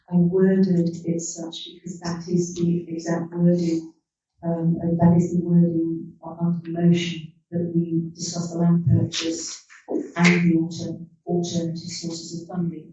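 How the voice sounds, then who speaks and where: A woman councillor at a formal meeting, putting forward a motion and reading its wording slowly and carefully. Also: fairly low pitch, warm tone, a little hiss or hum. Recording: distant, echoey, dull room mic.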